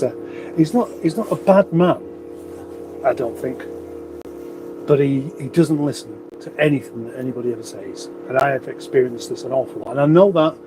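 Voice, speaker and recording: A man's voice: mid-range voice, background noise. A man with a runny nose, hosting a casual livestream, rambling chattily with wry asides as he reads out comments. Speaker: livestream host. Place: garage.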